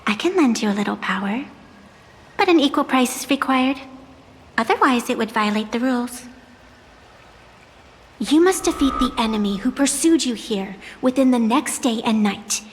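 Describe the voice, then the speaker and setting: A woman's voice: a little high, expressive, normal speed, long pauses, slight background noise, lightly acted. An actress playing a mysterious, playful and aloof supernatural woman in a video game, with a theatrical, formal, teasing delivery. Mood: intrigued, grand. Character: mysterious, playful, aloof female supernatural being granting pacts. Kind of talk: video game character dialogue. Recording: long reverb, music behind.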